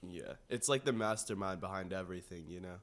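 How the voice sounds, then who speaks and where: A deep-voiced man in a casual conversation, playing the co-host. He sounds easygoing and agreeable as he asks questions and reacts. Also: brisk. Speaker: podcast co-host, asking and reacting. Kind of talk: casual chat.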